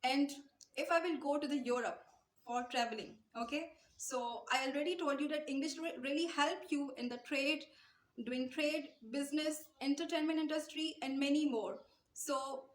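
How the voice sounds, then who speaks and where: A woman's voice: high-pitched, reverberant, poor audio. A young Pakistani woman with a Pakistani accent gives an earnest English-speaking lesson straight to camera, repeating her points and gesturing with her hands. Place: small indoor room, light wall behind.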